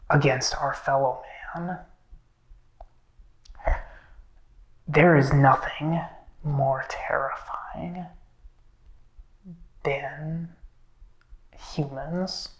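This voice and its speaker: A man performs a menacing horror villain with a philosophical bent. He speaks extremely slowly in a middle-pitched voice, brooding and halting between phrases. His delivery drifts between plain speech and breathy whispering, as in a film monologue. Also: rough recording. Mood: ominous, bitter.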